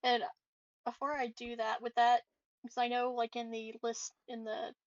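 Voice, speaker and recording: A woman's voice: on the high side, completely flat delivery, normal speed, no echo, highs cut off. A woman playing a tabletop game, talking casually and hesitantly as she checks the rules.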